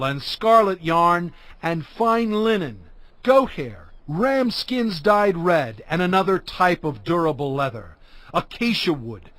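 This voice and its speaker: An actor playing Moses, a solemn prophet and leader, declaiming commands phrase by phrase in a dramatized scripture reading. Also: male voice, high-pitched, a bit bright, no room sound, heavily acted.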